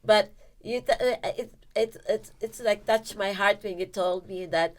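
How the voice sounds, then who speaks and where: A woman host running a casual podcast chat. She asks long, meandering questions padded with fillers. Her voice sits at an ordinary middle pitch. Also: measured pace. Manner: warm, rambling questions with many fillers.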